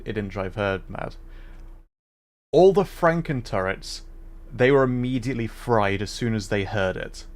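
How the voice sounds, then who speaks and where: A man hosting a gaming livestream in an average-pitched voice. He talks casually and informally, sometimes repeating himself as he chats to viewers.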